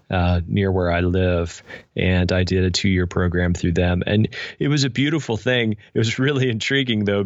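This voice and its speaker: American guy, low voice, reflective podcast guest, explains stuff carefully, no room sound, bit muffled. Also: dry recording. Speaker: podcast guest, spiritual director and pastor. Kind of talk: reflective conversational interview.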